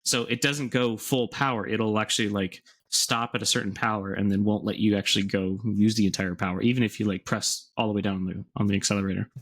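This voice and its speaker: Lowish-voiced man explaining things casually. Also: steady tone, quick pace, hardly any pauses, fairly bright tone. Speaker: podcast co-host.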